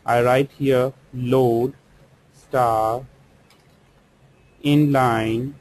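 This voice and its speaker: A man with an Indian English accent, walking through a software tutorial step by step as a trainer, explaining slowly with occasional fillers. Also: medium pitch, steady tone, rough recording. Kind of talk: screen-recorded software tutorial. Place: voice-over for screen recording.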